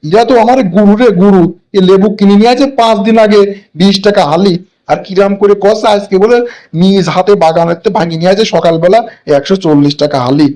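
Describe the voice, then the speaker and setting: A man's voice: high-pitched, a little fast, warm tone, close to an everyday voice. A man voicing a cheeky, indignant older devil in a comic cartoon, ranting in colloquial exclamations. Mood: amazed, indignant.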